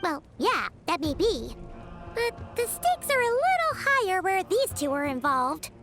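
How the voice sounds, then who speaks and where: An extremely high, squeaky little fairy girl, swooping animatedly through every phrase. She is a chirpy, childlike companion from an anime game, with music playing softly underneath. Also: a little slow, full character voice. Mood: explaining. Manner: refers to self in third person, animated.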